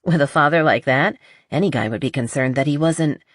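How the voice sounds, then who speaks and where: Woman playing a blunt, husky, skeptical best friend. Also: low-pitched, brisk, no room sound. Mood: teasing. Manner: blunt, dry, teasing. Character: blunt, brutally honest, skeptical, loyal female best friend.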